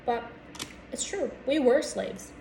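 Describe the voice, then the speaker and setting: An American woman chatting casually to camera as a vlogger, in a halting, reflective way that trails off mid-thought. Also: high voice, lively intonation, creaky, warm tone. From United States. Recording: roomy echo with background noise.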